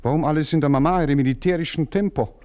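A man's voice: on the high side, rapid-fire, a little mellow, natural voice. An actor playing a self-absorbed nobleman in a radio play, coaxing and self-pitying in manner. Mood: flustered. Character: self-absorbed male nobleman, pleading and evasive. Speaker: baron.

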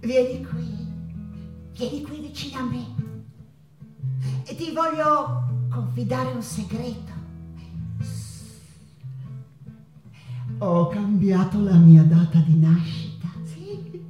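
Passionate tormented mexican lady painter on stage, high slow voice, halting and dramatic, guitar behind her. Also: lively intonation, highs cut off. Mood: playful, confiding. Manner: confiding, halting, repeating words with dramatic emphasis. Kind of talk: theatrical stage monologue. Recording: echoey stage, distant mic, guitar behind.

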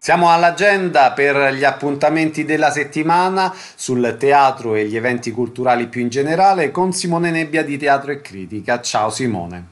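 A man's voice: medium pitch, lively intonation, moderate pace, hardly any pauses, soft background music. A man hosting a radio programme, asking short questions and announcing in a concise, orderly, scripted way. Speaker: radio host and interviewer.

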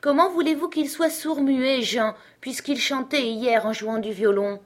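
A woman's voice: high voice, measured pace, voice pushed up. A woman voicing a sweet, eager and generous little girl in a storybook reading. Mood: curious.

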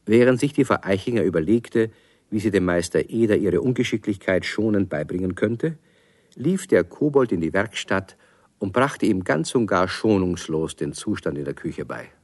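A male narrator with a lowish voice tells a children's story. His delivery is scripted and expressive, with plenty of melody, recorded close and dry. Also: normal speed, dry recording. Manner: expressive, clearly phrased.

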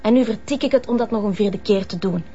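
A woman's voice: mid-range voice, fast, dark tone, husky, muffled recording, lightly acted. A woman playing a blunt, indignant Flemish call girl who talks insistently in a television crime drama. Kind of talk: naturalistic TV crime drama dialogue.

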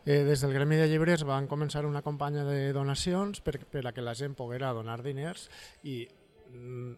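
A man's voice: mid-range voice, steady tone, moderate pace, a little mellow. Valencian man, explanatory and conversational. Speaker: interview guest.

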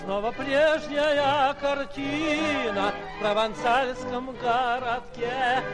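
A man sings the narration of a 1940s radio play in a very high voice. He is slow and deliberate, over loud music in an old recording. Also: fairly even intonation. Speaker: singing narrator.

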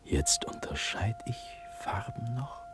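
Hushed, entranced young prince, very slow. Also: male voice, fairly low pitch, lively intonation, over music. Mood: rapt, dreamy. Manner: hushed, halting, often whispering. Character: dreamy, entranced young prince facing death. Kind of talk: classical verse radio play acting.

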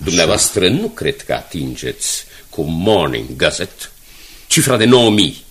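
Proud newspaper director, radio drama, lowish pitch, animated intonation, formal and unyielding. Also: male voice. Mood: proud, firm. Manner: firm, dignified, formal. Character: principled, proud male director of provincial newspaper.

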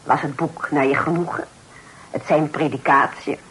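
An actress playing a pious, churchgoing old aunt in a Dutch period radio play, cordial yet sermonizing, in formal old-fashioned speech. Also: female voice, deep voice, steady tone, normal speed, fairly bright tone, a little hiss or hum, low-quality recording. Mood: kindly, chatty.

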